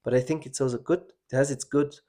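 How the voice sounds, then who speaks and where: A man from Switzerland hosting a podcast, talking in a reflective, halting way with many restarts. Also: mid-range voice, fairly even intonation, warm tone. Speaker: podcast host. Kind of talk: casual personal conversation.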